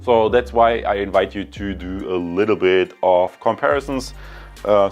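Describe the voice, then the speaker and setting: A man talking casually and unscripted to viewers as a product reviewer, explaining things in a rambling, conversational way. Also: low voice. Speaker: product reviewer, channel host.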